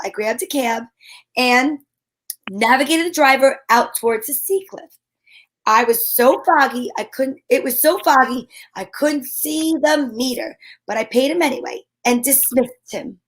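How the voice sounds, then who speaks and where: A sassy, self-assured lady detective, acted by a woman in an old-time radio mystery. Her voice sits high, and she delivers slangy, wry lines as both sleuth and narrator. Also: expressive, normal speed. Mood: wry narrating. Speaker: lead actress and narrator.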